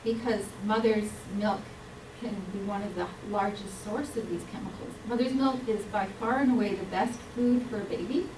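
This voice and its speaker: A woman giving an earnest, explanatory public health talk, with frequent pauses and ums. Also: mid-range voice, noisy background. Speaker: health speaker. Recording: roomy hall mic from a distance.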